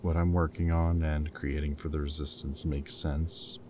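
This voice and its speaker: A man's voice: rumbling low, little pitch movement, moderate pace, background noise. A man playing a captured rebel scientist in an intimate audio roleplay, caring and guilt-ridden, speaking in a hesitant, casual, earnest way. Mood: subdued, reassuring.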